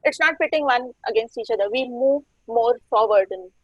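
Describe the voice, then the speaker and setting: A woman speaking as a panelist in an animated way, her pitch leaping sharply. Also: a little fast, no room sound, muffled recording. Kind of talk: panel discussion.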